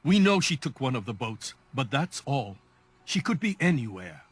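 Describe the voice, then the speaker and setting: A man's voice: medium pitch, sing-song, normal speed, slightly rough, dry recording. An actor playing an anxious middle-aged father in a video game, strained and urgent about his family. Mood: subdued, worried. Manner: urgent, insistent, agitated. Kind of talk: dramatic video game voice acting.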